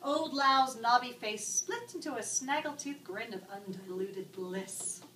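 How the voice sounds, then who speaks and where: A woman narrating a written story in an expressive, arch, dramatic style. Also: fairly high pitch, a little slow. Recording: echoey room, distant mic, background noise.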